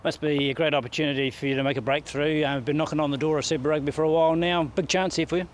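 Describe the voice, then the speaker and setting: A man with a New Zealand accent and a slightly high voice conducts a casual sports interview. He fires off his conversational questions at a very brisk pace. Faint outdoor noise sits behind him. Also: fairly even intonation.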